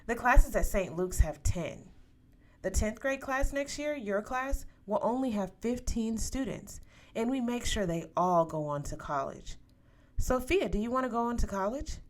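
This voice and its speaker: A woman reading the part of an eager, encouraging male school recruiter in a story, with a polite, enthusiastic pitch. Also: animated, a little slow. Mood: upbeat, encouraging.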